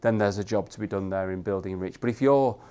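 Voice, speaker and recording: Loud Yorkshire man, thoughtful restarts. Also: lowish voice, fast. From Yorkshire, England. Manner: thoughtful, with frequent restarts and repeated words. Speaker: head of media effectiveness, guest. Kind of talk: panel discussion at an industry event.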